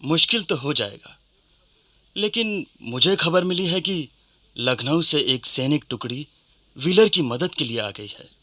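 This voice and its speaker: A man's voice: a little high, lively intonation, measured pace, pushed and bright, no room sound, exaggerated voice. An actor playing an earnest, respectful rebel general advising his leader in a historical radio play. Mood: earnest, concerned.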